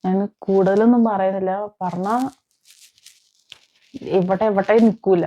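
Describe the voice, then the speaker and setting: A woman's voice: medium pitch, fairly even intonation, measured pace, mellow, dull audio. A woman giving emphatic, opinionated commentary on crime as a vlog host, repeating phrases and posing rhetorical questions. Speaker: vlogger, host.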